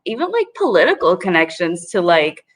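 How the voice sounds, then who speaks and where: A woman's voice: on the low side, sing-song, a little fast. Young woman reflecting on a panel. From Puerto Rico. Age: young adult. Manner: reflective, many "like" and "you know" fillers. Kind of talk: casual panel discussion.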